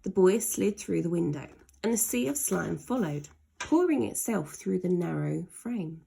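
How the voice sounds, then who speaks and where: A woman's voice: fairly low pitch, expressive, a little slow, creaky, mellow, a little hiss or hum, low-quality recording. A woman narrating a children's story aloud in a dramatic way, leaning hard on sound words. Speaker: storybook reader.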